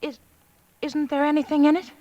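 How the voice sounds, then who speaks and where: An actress playing a frightened young wife in a 1940s radio drama, speaking in a trembling, halting way. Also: female voice, high voice, level tone, dark tone, dry recording, muffled recording, lightly acted. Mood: shaken. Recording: old radio broadcast.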